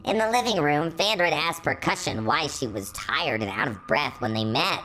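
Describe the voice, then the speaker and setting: A woman's voice: low-pitched, animated, moderate pace, a bit bright. A woman hosting a story reading, narrating fan fiction and adding her own commentary. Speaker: story reader, channel host.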